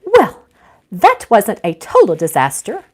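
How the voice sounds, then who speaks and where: A woman's voice: mid-range voice, wildly swooping pitch, measured pace, slightly rough, exaggerated voice. A woman voicing a dry, cautious, easily alarmed female ghost in a storybook. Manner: dry, fretful.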